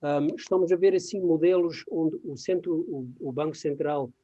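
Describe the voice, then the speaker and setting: Hesitant Portuguese speaker, mellow male voice. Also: fairly high pitch, dull audio. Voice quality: non-native Portuguese with English terms mixed in. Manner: halting, searching for words. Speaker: guest expert. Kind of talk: webinar presentation in conversational form.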